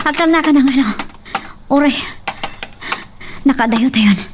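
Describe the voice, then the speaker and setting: A woman's voice: high-pitched, measured pace. A woman playing a frightened but determined young woman in an emotional audio drama, talking to herself with breathless exclamations. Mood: anxious. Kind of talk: Ilocano radio-style drama acting. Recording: noisy home recording with clicks.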